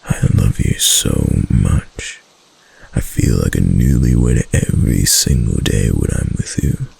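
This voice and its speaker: Casting a male actor for a clingy yandere fox-spirit husband, intimate ASMR roleplay; slow, heavily creaky murmur, tender and obsessive. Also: expressive, a little mellow, exaggerated voice. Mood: lovestruck. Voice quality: deep, breathy, gravelly. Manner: slow, hesitant, coaxing endearments.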